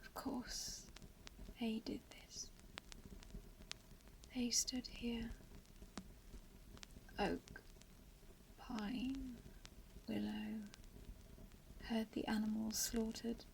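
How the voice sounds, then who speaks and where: Woman acting a slow, creaky-whispered dying survivor. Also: a little high, flat delivery, darkish tone, slight background noise, exaggerated voice, poor audio. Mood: bitter, hushed. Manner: halting, fragmented phrases with long pauses. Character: dying lone female survivor, entangled, haunted, bitterly resigned. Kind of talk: horror radio play monologue.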